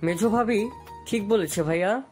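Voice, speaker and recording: A woman's voice: mid-range voice, moderate pace, a little mellow, natural voice. A woman acting a supportive, affectionate younger relative in a family audio story. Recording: faint music behind.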